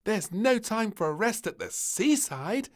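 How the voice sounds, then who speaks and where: A man voicing an excitable, bossy little princess in a storybook read-aloud, with high squeals and bright exclamations. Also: a little slow. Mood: gleeful.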